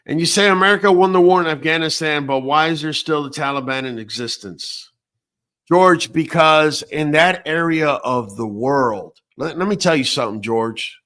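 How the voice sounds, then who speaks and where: A man hosting a late-night talk show who was born in Venezuela. His voice sits a little high, and he talks in a casual, blunt, profane rant, stacking one opinion on the next. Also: normal speed, fairly bright tone. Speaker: host.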